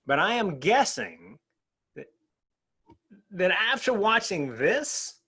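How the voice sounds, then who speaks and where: Brief: middle-aged man, workshop presenter, bright high voice with big swings in pitch, chatty and lively. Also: slow, creaky, husky. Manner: animated and conversational, asks the audience "right?". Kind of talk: energetic professional development talk.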